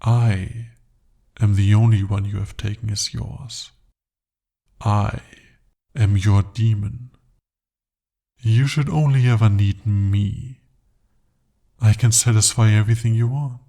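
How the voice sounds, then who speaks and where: A man voicing a breathy, possessive bound demon. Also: lowish voice, fairly even intonation, exaggerated voice. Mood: possessive, insistent. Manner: intimate, soft-spoken, slow and deliberate. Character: jealous, possessive male demon bound to a sorcerer. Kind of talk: ASMR roleplay.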